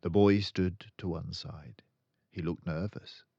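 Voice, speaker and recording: An older man reading a children's story aloud, deliberate and dramatic in his narration. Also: low-pitched, fairly even intonation, dark tone. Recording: slightly dull, roomy home recording.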